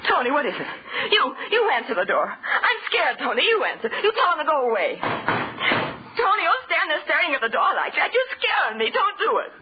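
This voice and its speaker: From a muffled, faintly hissy 1930s radio play comes an anxious, frightened wife. Her high voice swoops about as she falters and pleads in old melodramatic style. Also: big pitch swings, normal speed. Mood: terrified, pleading. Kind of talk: 1930s radio horror acting.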